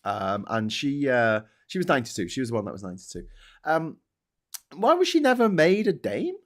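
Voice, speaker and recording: A man's voice: medium pitch, sing-song, fairly quick. A man from Birkenhead with a Merseyside accent, hosting a casual news chat podcast, chatty with frequent hesitant fillers. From Birkenhead, England. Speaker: podcast host.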